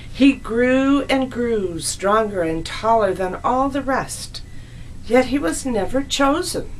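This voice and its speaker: A woman narrating a scripted children's story as a storyteller, in a deliberate, expressive read-aloud manner. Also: medium pitch, slight background noise, poor audio.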